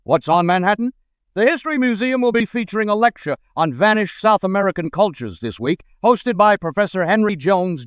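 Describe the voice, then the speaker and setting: A man as a high-voiced, smooth 1940s radio announcer. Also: no room sound, clean studio sound. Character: 1940s big-city radio announcer reading local listings. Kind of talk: 1940s radio acting, scripted event listings.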